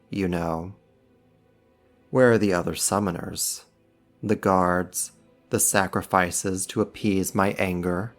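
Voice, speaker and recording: Casting: man as powerful demon for audio roleplay, low-pitched, slow delivery, taunting mock courtesy. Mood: disdainful. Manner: sarcastic, teasing, drawn-out mock politeness. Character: powerful male demon, sly, mocking, condescending. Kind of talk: ASMR roleplay, slow scripted monologue to the listener.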